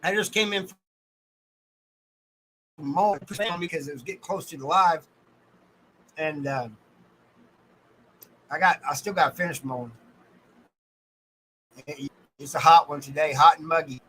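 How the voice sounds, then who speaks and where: Casting: American male livestream host, folksy and colloquial, very bright forward voice, some background noise. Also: on the high side, measured pace, pauses often, rough recording. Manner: folksy, colloquial, addresses viewers by name. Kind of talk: casual livestream chat with viewers.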